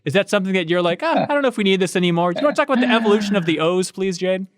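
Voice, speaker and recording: A man's voice: sits high, animated, rapid-fire, no room sound, clean studio sound. A man hosting a podcast, asking loose, joking questions with plenty of fillers. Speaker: podcast host. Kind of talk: casual interview questions.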